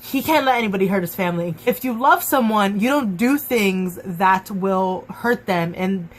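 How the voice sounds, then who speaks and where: A young woman chatting excitedly in a casual book review vlog, full of fillers and restarts. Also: mid-range voice, moderate pace, rough recording. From Mexican and Cuban heritage.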